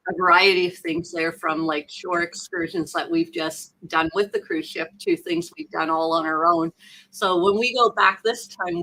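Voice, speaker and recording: A woman with a Canadian accent hosting a cruise vlog live stream, bubbly and quick to laugh. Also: on the low side, gravelly, sharp tone, low-quality recording. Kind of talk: casual live-stream chat.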